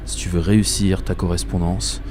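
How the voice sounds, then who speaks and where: A man's voice: low-pitched, level tone, moderate pace, background noise, lightly acted. A man playing a dreamy, obsessive commuter who narrates a radio fiction in a colloquial, wry, confiding way. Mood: intent, confiding. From Paris, France. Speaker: narrator and protagonist. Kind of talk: first-person radio fiction monologue.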